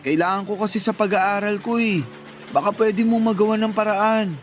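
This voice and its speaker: An actor playing a cheeky, wheedling young Filipino student brother in a Tagalog radio drama. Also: male voice, sits high, steady tone, moderate pace, slight background noise, close to an everyday voice.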